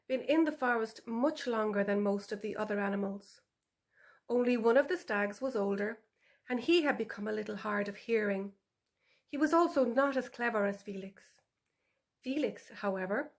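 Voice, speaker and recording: A woman author reading her animal story for older children as scripted narration. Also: mid-range voice.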